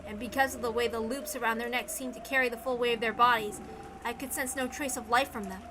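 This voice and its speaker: A woman reading a dramatic story aloud as narrator, expressive, theatrical and deliberate. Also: on the high side, noisy background. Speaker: reader of a visual novel. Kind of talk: dramatic scripted narration with acted dialogue. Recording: background music under the reading. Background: music.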